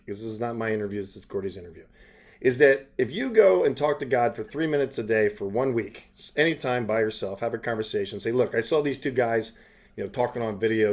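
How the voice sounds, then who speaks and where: Guy hosting an online chat show, rambly and halting, earnest, kinda casual testimony style. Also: mid-range voice. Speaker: interviewer and online show host.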